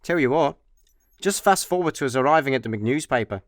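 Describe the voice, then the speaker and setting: A man's voice: medium pitch, animated, brisk, no echo, studio quality, lightly acted. A man with a Scottish accent playing a laid-back, dim, easily distracted slacker in a comedy sci-fi radio play. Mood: easygoing. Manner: casual, offhand.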